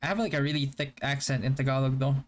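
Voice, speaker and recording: Flat-voiced man chatting casually on stream. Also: mid-range voice, fast talker. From Philippines. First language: Hiligaynon. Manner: relaxed, stop-start, with frequent fillers and restarts. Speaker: game streamer. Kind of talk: casual live-stream chat answering viewers.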